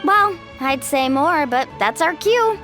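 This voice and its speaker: Animated, confident young competitor. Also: female voice, high-pitched, slow, faint music behind, full character voice. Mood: friendly, upbeat. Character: friendly, confident young female contestant. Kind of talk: casual dialogue, English game dub acting.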